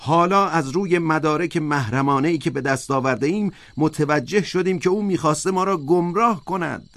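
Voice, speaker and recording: A man's voice: fairly high pitch, a bit bright, pitched above the performer's own voice. A man voicing a sly, fervent propagandist pig in a storybook, speaking in an emphatic, insistent, oratorical way. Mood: insistent, accusing. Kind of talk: audiobook character voice.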